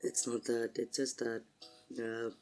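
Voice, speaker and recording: A man with a sore throat and a husky voice, chatting casually as a podcast co-host and slowly searching for his words. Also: mid-range voice, completely flat delivery, a little mellow, faint music behind. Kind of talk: casual chat.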